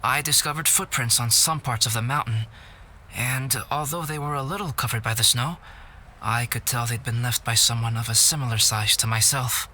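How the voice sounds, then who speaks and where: An actor playing a calm, analytical young investigator in a video game, speaking in a measured, articulate way. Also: male voice, mid-range voice, slight background noise. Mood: composed, pensive. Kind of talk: video game cutscene acting.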